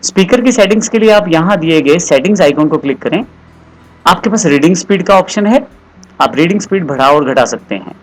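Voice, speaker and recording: A man narrating a step-by-step software tutorial in an explanatory, instructional way, repeating his instructions. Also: a little high, expressive, fairly quick, rough recording.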